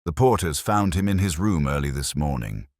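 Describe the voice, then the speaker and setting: A man's voice: rumbling low, expressive, moderate pace, a little mellow, dry recording, clean studio sound. A man voicing a dutiful, practical police sergeant who reports in a clipped, matter-of-fact way in a detective audio story. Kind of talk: scripted detective audio drama.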